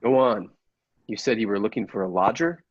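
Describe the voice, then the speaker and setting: Casting: male actor as blunt radio-play inspector, lowish pitch, deadpan and curt, slightly muffled sound. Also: fairly quick, husky. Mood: grim, businesslike.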